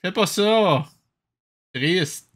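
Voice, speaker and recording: A man's voice: high-pitched, lively intonation, very slow, heavy background noise. A man with a Québécois French accent giving sarcastic, mocking running commentary as a host reacting to a show. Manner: sardonic, mocking. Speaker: host reacting to an old cartoon.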